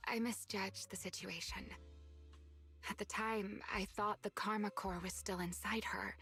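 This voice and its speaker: An actress playing a gentle, earnest young woman in a fantasy video game, speaking in a measured, hesitant way. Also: mid-range voice, fairly even intonation, faint music behind. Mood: solemn.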